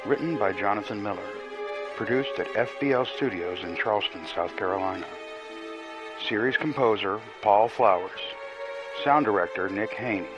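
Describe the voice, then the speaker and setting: A man's voice: fairly low pitch, normal speed, dull audio. A man reading scripted promos and credits as a dramatic announcer. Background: music.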